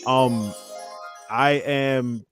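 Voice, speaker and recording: A man's voice: mid-range voice, fairly even intonation, drawn-out words, fairly bright tone, soft background music. A man in his twenties chatting casually as a guest on a panel, in informal, slangy speech. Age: 20s. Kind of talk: casual chat.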